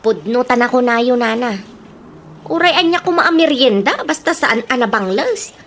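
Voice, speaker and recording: A woman's voice: a little high, animated, normal speed, a bit bright. A woman playing a respectful but plaintive female farm labourer in a radio comedy drama. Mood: grumbling.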